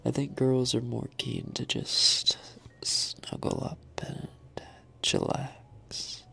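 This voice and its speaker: A young man hosting an ASMR live stream, answering chat in a soft, half-whispered, slightly raspy voice and laughing often. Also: mid-range voice, slow. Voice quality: a little raspy.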